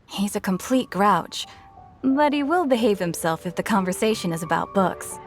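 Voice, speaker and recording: A woman's voice: mid-range voice, expressive, normal speed, natural voice. A woman voicing a matter-of-fact, helpful female bookseller in a video game, composed and frank. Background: music.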